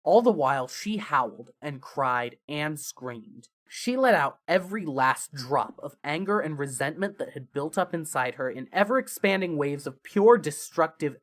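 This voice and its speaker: A narrator with a mid-range voice reads a story dramatically. Phrases start with emphasis and trail off softly, in a scripted fan fiction style. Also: mid-range voice.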